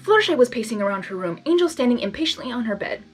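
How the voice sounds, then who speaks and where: A woman reading fan fiction aloud as host, narrating and breaking into casual, expressive reactions. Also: on the high side, racing, faint music behind. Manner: expressive, animated asides.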